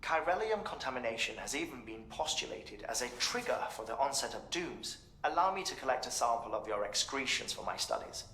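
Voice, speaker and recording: Bright-voiced, clinical male researcher. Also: mid-range voice, big pitch swings, brisk, hardly any pauses, reverberant, slight background noise. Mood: formal, matter-of-fact. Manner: formal, articulate, clinical. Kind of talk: video game acting, formal explanation.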